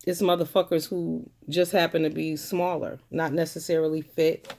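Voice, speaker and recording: A woman's voice: low-pitched, little pitch movement, moderate pace, darkish tone. A woman vlogger ranting to camera, blunt and confrontational, swearing often and hammering points home by repeating them. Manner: blunt, profane, confrontational, repeats phrases for emphasis. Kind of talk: angry ranting monologue to camera.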